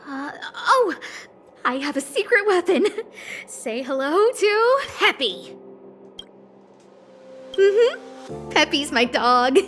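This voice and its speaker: Casting: cutesy, bossy young female game scientist, very high voice, unhurried delivery. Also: full character voice. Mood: playful, pleading. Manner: expressive, dramatic, slightly haughty. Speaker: voice actor in a video game. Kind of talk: animated game character dialogue. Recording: studio voice over soft game music.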